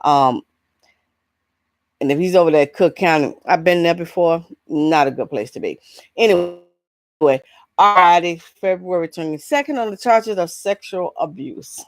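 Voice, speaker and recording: A woman hosting a vlog, giving casual, opinionated entertainment commentary in a halting, rambling, blunt way with frequent asides. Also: fairly low pitch, expressive, no room sound. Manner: blunt, incredulous rhetorical questions. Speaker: vlog host.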